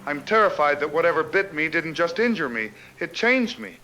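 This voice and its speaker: A man's voice: on the high side, big pitch swings, normal speed, bright, forceful tone, muffled recording, soft background music. An actor playing a frightened radio caller who fears he is changing, speaking in an anxious, confessional way. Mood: terrified. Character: frightened bitten man phoning in, fighting an inner change. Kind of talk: radio drama call-in monologue.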